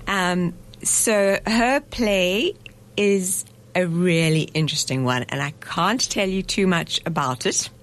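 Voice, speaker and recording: Thoughtful woman, low voice. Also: slow. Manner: thoughtful, hesitant with fillers and restarts, laughs easily. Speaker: festival director, guest. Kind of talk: radio interview. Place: radio studio.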